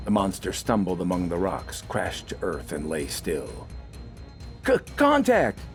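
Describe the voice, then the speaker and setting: A man's voice: low-pitched, normal speed, faint music behind. A man reading scripted, dramatic fiction as an audiobook narrator.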